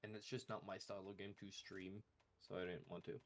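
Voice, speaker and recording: A man with a bassy voice talking over voice chat on his own mic, bantering with a teasing, deadpan humor. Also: fairly quick. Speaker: friend on voice chat. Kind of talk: casual banter. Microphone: own microphone over voice chat.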